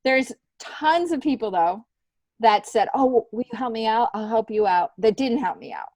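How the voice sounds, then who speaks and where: A woman's voice: fairly high pitch, animated, normal speed, a little mellow, no echo. A middle-aged woman speaking candidly and reflectively in an informal interview, repeating points for emphasis. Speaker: podcast guest, stand-up comedian.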